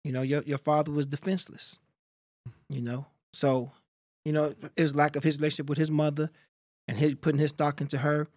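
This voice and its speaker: A middle-aged man speaks as a guest on a studio podcast, laying out his thoughts in a measured, philosophical way. His voice sits a bit high for a man. The sound is close and dry. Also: level tone, a little mellow, dry recording. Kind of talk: reflective interview talk. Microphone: studio mic on boom.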